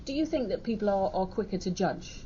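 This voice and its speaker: Radio presenter hosting a morning discussion. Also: male voice, sits high, lively intonation, dark tone. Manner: brisk, steering questions, hesitant restarts. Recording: dull broadcast audio with faint hiss.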